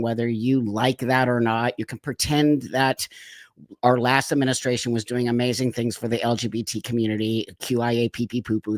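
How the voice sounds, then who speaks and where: A man's voice: mid-range voice, no echo. A man hosting a casual live stream, effusive and rambling, chatting over a video call. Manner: effusive, rambling, laughs often. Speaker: host.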